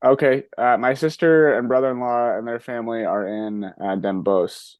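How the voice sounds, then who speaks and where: A man's voice: mid-range voice, steady tone, normal speed, a little mellow, dry recording. A middle-aged man hosting an interview, asking friendly questions with many false starts. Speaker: podcast host. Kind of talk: casual interview.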